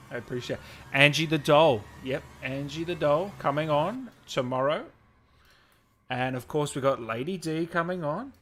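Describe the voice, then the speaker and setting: A man's voice: fairly high pitch, fairly bright tone. Chatty male streamer with background noise. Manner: enthusiastic, matey, informal. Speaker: live stream host. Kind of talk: casual live stream chat, reading out viewer comments and thanks.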